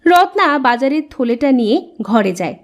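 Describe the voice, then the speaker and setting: High-voiced woman narrating a story. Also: very animated, moderate pace. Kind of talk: scripted cartoon story narration.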